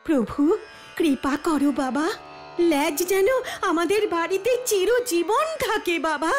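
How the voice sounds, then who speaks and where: A woman's voice: very high voice, unhurried. A woman playing a devout, superstitious and stubborn cartoon housewife who gushes in dramatic pious exclamations over music. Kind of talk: exaggerated Bengali cartoon dubbing. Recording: background music under dialogue.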